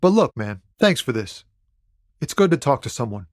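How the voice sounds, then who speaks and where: A man's voice: mid-range voice, big pitch swings, moderate pace, no echo, clean studio sound, natural voice. A man voicing a laid-back, soulful lorry driver in a video game, loyal and reluctant, talking in a casual, hesitant, earnest way with streetwise slang. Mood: grateful, gentle. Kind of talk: conversational video game character dialogue.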